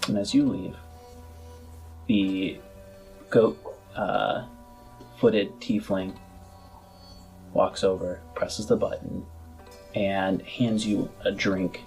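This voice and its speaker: A man narrating a tabletop roleplaying game as game master, in halting, measured phrases. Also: low-pitched, steady tone, gravelly, a little mellow, faint music behind.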